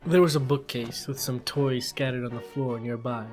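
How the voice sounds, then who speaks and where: A man's voice: medium pitch, steady tone, normal speed, over music. Informal male narrator with wry asides. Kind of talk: conversational story narration.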